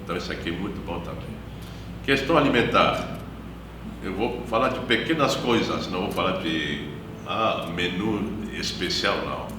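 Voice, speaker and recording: A man, a missionary priest giving a measured, reflective personal testimony in an interview. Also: low voice, noisy background. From Democratic Republic of the Congo. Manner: measured, reflective, unhurried storytelling. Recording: echoey room, distant mic, guitar music behind.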